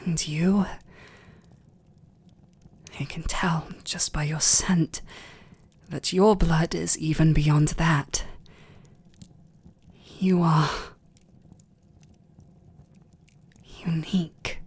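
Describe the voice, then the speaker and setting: Noble British vampire lord, played by a man, ASMR audio drama: husky, unhurried, restrained and hesitant, tormented tenderness. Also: a little high, fairly even intonation, exaggerated voice. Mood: hushed craving. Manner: formal, hesitant, breathy half-whispers. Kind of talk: intimate ASMR roleplay acting.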